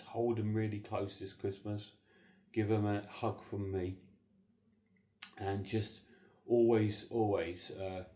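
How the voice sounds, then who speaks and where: Mellow, low-voiced man, a bit congested. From southern England. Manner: rambling, earnest, hesitant with many fillers. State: slightly congested. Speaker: horror film channel host. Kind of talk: casual holiday video message.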